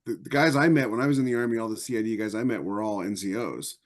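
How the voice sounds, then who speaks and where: Low-voiced man, halting casual talk. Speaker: podcast panelist. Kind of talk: casual chat.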